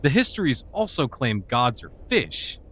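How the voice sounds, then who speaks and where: Man acting a cynical male outcast, medium-pitched voice, mocking manner, fantasy audio drama. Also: big pitch swings, a little slow, a bit bright. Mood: mocking, sarcastic. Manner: sarcastic, mocking. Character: cynical, sarcastic human loner, male outcast mage.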